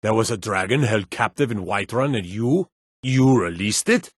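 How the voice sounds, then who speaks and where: An actor playing a gruff town guard in a fantasy video game, speaking in terse, emphatic, gossipy remarks. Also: male voice, on the low side, lively intonation, moderate pace, dry recording, studio quality. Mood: shocked. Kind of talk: fantasy game character barks.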